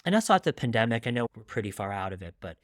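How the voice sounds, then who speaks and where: A man's voice: on the low side, sing-song, rapid-fire, dry recording, studio quality. A middle-aged man from Long Island, New York, speaking candidly and reflectively as a guest in an interview, often adding asides. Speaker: guest author.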